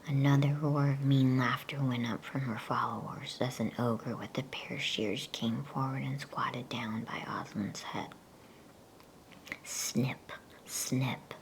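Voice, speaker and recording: Slow, whispering woman reading a bedtime story. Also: very deep voice, creaky, slight background noise, rough recording. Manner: hushed, soothing, unhurried.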